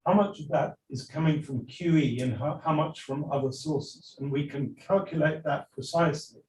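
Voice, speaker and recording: A measured man lays out numbers step by step, his voice heard from far off in a reverberant conference hall. He speaks at a mid pitch, with a light ambient hum behind him. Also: darkish tone. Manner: measured, precise, explanatory. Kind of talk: explaining monetary data.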